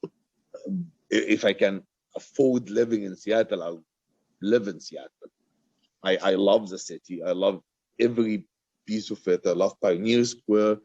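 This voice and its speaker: A man from Egypt, a poet and author, talking informally and haltingly on a video call, often repeating his words. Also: on the low side, darkish tone. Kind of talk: informal question-and-answer talk after a reading. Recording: roomy, dull video-call sound.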